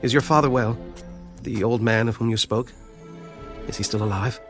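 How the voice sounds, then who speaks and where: An actor playing a dignified, kindly governor in a Bible audio drama, formal and authoritative. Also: male voice, mid-range voice, moderate pace, music in the background, close to an everyday voice. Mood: gently inquiring. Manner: commanding, formal.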